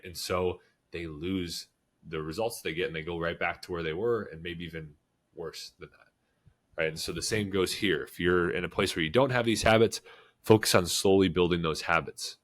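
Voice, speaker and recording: Stuffed-up low-voiced podcast co-host. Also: male voice. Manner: relaxed, repeats "like" and "right?" often. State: stuffed up with a cold. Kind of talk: casual podcast talk.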